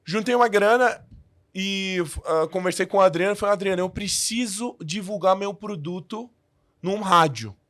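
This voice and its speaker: A man's voice: high voice, normal speed, fairly bright tone, no room sound. A man who learned the language as an adult, a podcast guest telling his story in a casual way with many restarts and fillers.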